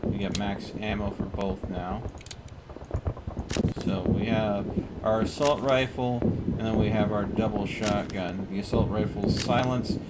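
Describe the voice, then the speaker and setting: Low-voiced man over loud game noise. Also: steady tone, unhurried. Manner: thinking aloud. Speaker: video game streamer. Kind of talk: casual gameplay commentary.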